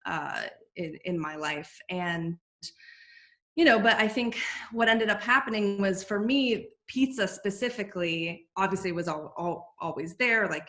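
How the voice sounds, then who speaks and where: A fairly low-pitched woman, talking casually as a podcast guest. She rambles and restarts often, filling her sentences with "um" and "you know". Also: fairly bright tone. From United States. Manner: chatty, many fillers and restarts. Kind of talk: casual interview chat.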